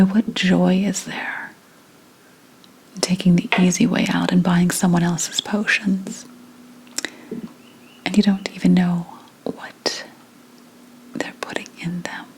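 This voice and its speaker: A woman playing a shrewd, kindly 17th-century witch in a hushed, deliberate, mostly whispered ASMR roleplay. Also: on the low side, breathy edge, exaggerated voice, rough recording. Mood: wry, advising.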